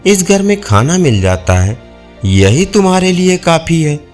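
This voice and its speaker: A man's voice: on the high side, sing-song, a little slow, darkish tone, soft background music. A man playing a stingy elder brother who scolds his family in an audio village tale. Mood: scolding.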